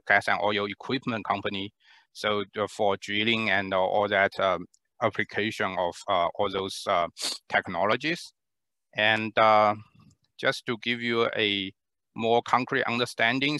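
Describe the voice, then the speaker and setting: A man, presenting his research lab in an online talk, halting with many fillers and restarts, on a headset mic in a small room. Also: on the low side, sharp tone, no echo. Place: small room at home, indoors.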